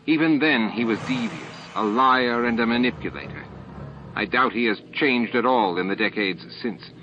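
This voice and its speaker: A man's voice: medium pitch, animated, moderate pace. A man voicing a fierce, snappish elderly female alien scientist in an audiobook drama. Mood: indignant, scornful. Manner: snappish, forceful, righteous. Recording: dull lo-fi audio with music.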